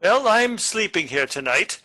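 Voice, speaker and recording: An actor playing a sinister, commanding criminal brother in a radio play, with a threatening manner. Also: male voice, high voice, sing-song, a little slow, bright, forceful tone, heavily acted. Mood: coolly menacing.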